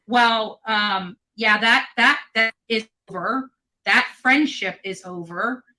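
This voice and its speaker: Woman livestreamer, high-pitched and tense, worn out, defensive and foul-mouthed, venting at critics. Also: slow pace, sharp tone, low-quality recording. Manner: combative, profane, defensive. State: tired. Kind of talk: angry unscripted livestream rant.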